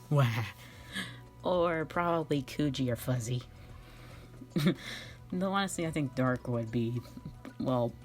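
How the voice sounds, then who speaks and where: Woman, low register, informal and playful Q&A host, rambling and self-deprecating, soft music underneath. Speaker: ASMR and audio roleplay voice creator. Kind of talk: casual Q&A, reading and answering fan questions. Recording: faint music behind the voice. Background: faint background music.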